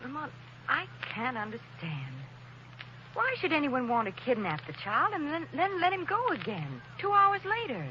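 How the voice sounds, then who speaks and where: A loyal young companion speaks in a high, bright, lively voice, anxious and quick. The delivery is classic vintage radio drama. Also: female voice, muffled recording, a little hiss or hum, exaggerated voice. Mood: puzzled. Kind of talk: 1940s radio acting.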